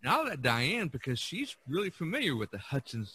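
A man hosting a podcast in a casual way, halting and repeating words as he talks. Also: on the high side, huge pitch swings, pushed and bright, slightly rough. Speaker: podcast host.

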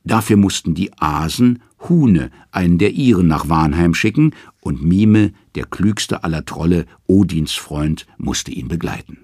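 A man narrating mythological tales from a script in a measured, dramatic storytelling manner. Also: deep voice, expressive, a little mellow, breathy edge, no echo, studio quality.

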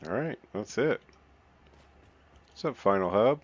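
A man with a deep, low voice gives relaxed let's-play commentary, counting and muttering to himself as he works. The recording is a little dull and muffled. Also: unhurried, slightly rough. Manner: counts and mutters while building, self-talk. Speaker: gaming channel host.

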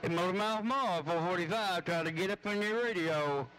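A man's voice: high voice, moderate pace. A man making folksy, repeated calls in casual CB radio slang. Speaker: CB radio operator. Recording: bright CB receiver audio with faint noise.

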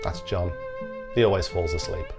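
A man's voice: deep voice, steady tone, normal speed, creaky, loud music behind the voice. A man, hosting a beer travel vlog in a chatty, wry way and swearing casually, talking to a camera in a small room.

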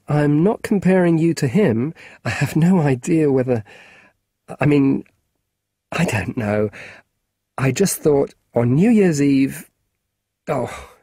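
A man's voice: fairly high pitch, mellow, husky, no room sound, studio quality, voice pushed up, exaggerated voice. Man doing a hesitant, flustered mum. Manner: hesitant, apologetic.

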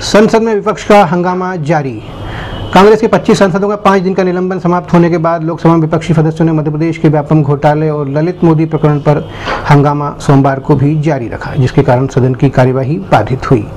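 Higher-pitched male news presenter, noisy recording. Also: fairly even intonation, a little fast, darkish tone. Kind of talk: scripted news bulletin reading.

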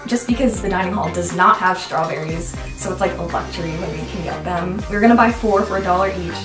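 A woman's voice: on the low side, fast. A young adult woman in casual chat, hosting a vlog and asking questions. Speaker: vlog host interviewing her roommate. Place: small dorm room, indoors. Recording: roomy, distant mic, music behind.

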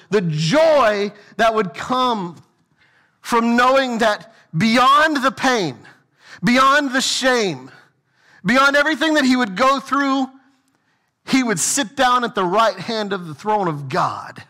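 A middle-aged man preaching a sermon as senior pastor, moving between quiet, low asides and raised, emphatic lines as he walks a church stage. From United States. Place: large church sanctuary with stage.

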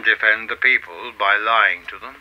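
An actor playing a probing, cryptic male questioner in a radio play, speaking in measured phrases. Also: lowish voice, natural voice. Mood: cryptic, challenging. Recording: dull sound with faint clicky noise.

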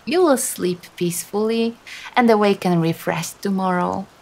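A woman voicing a teasing but sympathetic female demon in an intimate ASMR roleplay, coaxing softly with dramatic hesitations. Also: medium pitch, moderate pace, creaky. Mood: gentle, consoling.

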